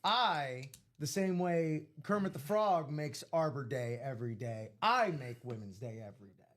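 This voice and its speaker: A man's voice: on the high side, lively intonation, normal speed, a bit bright. A young man co-hosting a live card break stream, playful, tossing in quips and bits of singing. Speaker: co-host. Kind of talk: casual live-stream banter.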